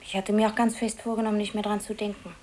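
Woman playing sad hurt office secretary, halting, medium pitch, bit of tape hiss, 1950s radio drama. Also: little pitch movement, darkish tone, natural voice. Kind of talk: 1950s German radio play acting. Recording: hissy 1950s radio recording.

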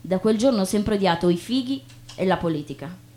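A woman acting on stage as a chatty, naive young woman, expressive and colloquial. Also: mid-range voice, brisk, close to an everyday voice. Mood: chatty, self-mocking. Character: chatty, inquisitive, self-deprecating young woman, breezily naive. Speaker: stage actress. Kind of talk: theatrical monologue and dialogue. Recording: noticeable background noise with hum.

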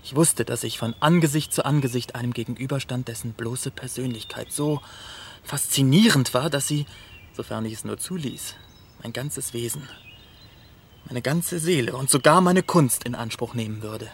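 A man's voice: mid-range voice, normal speed, a little hiss or hum, close to an everyday voice. Earnest, sensitive painter played by a man. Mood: awed, intense. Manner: earnest, confessional. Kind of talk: German radio play acting.

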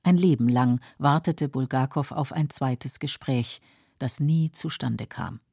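A woman reading scripted biographical narration in a measured, matter-of-fact way, as a documentary narrator. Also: very deep voice, vocal fry, warm tone, breathy edge, no room sound, clean studio sound. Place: dry studio.

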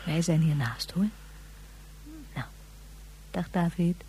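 A woman playing a gentle mother who softly soothes her son after a nightmare. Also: fairly low pitch, slow, slight background noise, rough recording. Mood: soothing. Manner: soft, soothing. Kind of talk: radio drama acting.

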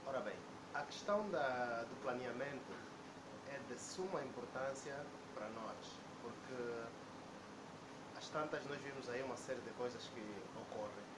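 A man's voice: mid-range voice, normal speed. Hesitant man telling an anecdote. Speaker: debate participant. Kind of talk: public debate talk. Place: debate hall indoors. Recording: echoey room with background noise.

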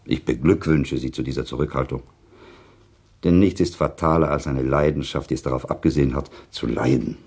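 A worldly sceptic in a literary radio drama. His extremely deep voice is gravelly and husky, and he argues with deliberate, cool irony. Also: a little mellow, heavily acted. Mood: ironic, insistent. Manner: deliberate, argumentative, ironic. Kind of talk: German radio play, literary dialogue.

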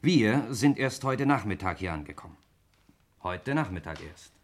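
An actor playing a self-assured, blunt stepson of a murder victim in a 1960s German radio play, arguing assertively. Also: male voice, lowish voice, big pitch swings, moderate pace.

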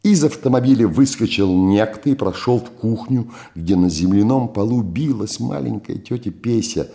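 An older man giving a performed literary reading in a theatrical, richly expressive way. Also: lowish voice, normal speed, gravelly. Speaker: reader, actor.

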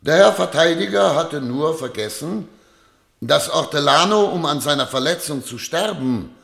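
A man playing a self-assured former prosecutor, now a judge, in a German radio play, with deliberate, oratorical delivery. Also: a little high, animated, vocal fry, fairly bright tone. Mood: oratorical, sarcastic. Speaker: actor in radio drama.

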